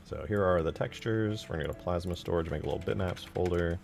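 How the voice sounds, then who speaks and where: A man's voice: sits low, fast, creaky, darkish tone, slight background noise. A man giving casual live commentary as he works on game mods, thinking aloud and asking himself questions in an informal way. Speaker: streamer modding a game.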